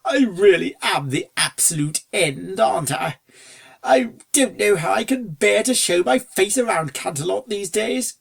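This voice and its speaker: A reader voicing a suave upper-class gentleman stallion in a storybook, with a posh, drawling, breezy manner and old-fashioned phrasing. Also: medium pitch, lively intonation, measured pace, creaky, pitched above the performer's own voice. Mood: smooth, amused. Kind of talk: storybook character dialogue.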